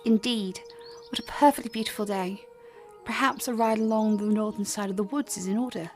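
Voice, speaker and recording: A woman playing a gracious, gentle queen and mother in a fan audio drama, speaking in formal, regal phrases. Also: mid-range voice, fairly quick, natural voice. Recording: music under the voice.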